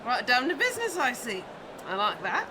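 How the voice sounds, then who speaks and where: High, animated young swindler girl. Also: normal speed, background noise. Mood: smooth, confident. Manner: persuasive, mock-polite. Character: confident, fast-talking Victorian London street girl. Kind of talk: audio drama acting.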